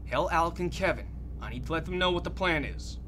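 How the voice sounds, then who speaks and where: A man's voice: a little high, quick pace, very noisy, close to an everyday voice. A man playing an overconfident, bullish American military commander in an audio drama, commanding and brash. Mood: brash confidence.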